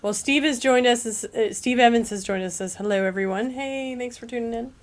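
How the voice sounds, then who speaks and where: A woman's voice: fairly high pitch, fairly quick. A woman co-hosting a livestream, chatting upbeat and reading out viewer comments. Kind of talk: casual chat.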